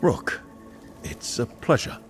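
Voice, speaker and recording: An actor playing an easygoing, supportive adventurer hero in a video game, casual and reassuring with dry humour. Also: male voice, takes it slowly, faint music behind, natural voice. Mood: gentle, reassuring. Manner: casual, reassuring, lightly teasing. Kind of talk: video game dialogue acting.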